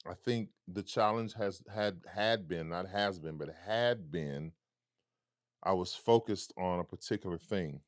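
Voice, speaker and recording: A man with a deep baritone voice, reflecting on his past as a guest in a casual conversation and acting out remembered dialogue. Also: unhurried, no echo, clean studio sound. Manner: reflective, storytelling with quoted dialogue. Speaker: musician guest.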